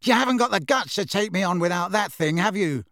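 A man plays a big teenage bully in a storybook, his voice pushed up high. He is sneering and defensive, snarling his boasts and jibes in a swooping delivery. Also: big pitch swings, a little slow, gravelly, fairly bright tone, no echo, clean studio sound, soft background music, heavily acted.

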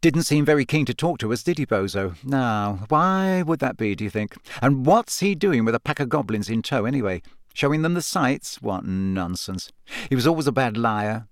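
A man voicing a sly, cold woman gossip reporter who talks fast and smug in an audiobook. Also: medium pitch, animated, no room sound, studio quality, close to an everyday voice. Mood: scheming. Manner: fast-talking and smug, sweetly mocking. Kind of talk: audiobook character voice.

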